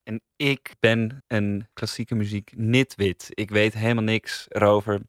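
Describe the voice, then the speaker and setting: Dutch guy chatting on a podcast, clear smooth voice, bit low. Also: steady tone, unhurried, no room sound, studio quality. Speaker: podcast panelist. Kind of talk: casual chat.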